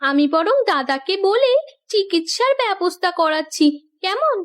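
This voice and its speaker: A woman playing a gentle, dutiful young village wife and daughter in a cartoon. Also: very high-pitched, very animated, unhurried, full character voice. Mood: concerned. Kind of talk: cartoon voice acting.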